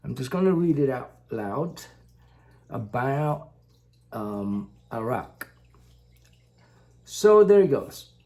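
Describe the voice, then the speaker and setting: A man from Indonesia, a native Indonesian speaker, talking slowly to the camera in a casual vlog, pausing often with fillers. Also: mid-range voice, big pitch swings, mellow. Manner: slow, halting, many fillers. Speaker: vlogger.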